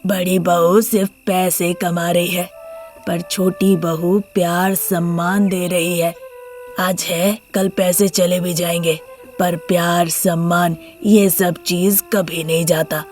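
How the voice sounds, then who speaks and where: A gentle older father-in-law from a moral story, voiced by a woman, pitched low. He admonishes in a measured, protective way, with faint music behind him. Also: level tone. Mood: reproachful, calm. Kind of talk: Hindi moral story character voice.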